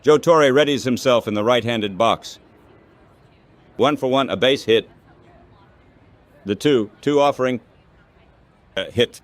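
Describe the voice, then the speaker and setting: A man calling baseball play-by-play in measured, clipped phrases, like scripted radio commentary. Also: mid-range voice.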